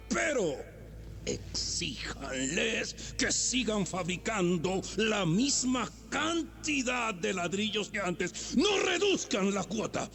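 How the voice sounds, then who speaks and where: A man's voice: high voice, creaky, a bit bright, heavily acted. An actor playing an arrogant, mocking, tyrannical Egyptian pharaoh in a theatrical audio Bible drama, drawing out his words with sudden outbursts. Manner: theatrical, sneering, drawn-out delivery with sudden outbursts.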